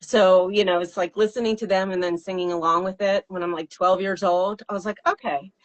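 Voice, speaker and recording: A woman with a fairly low voice, a singer-songwriter answering interview questions in a thoughtful, hesitant way with fillers and laughs. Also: fairly even intonation, fairly quick.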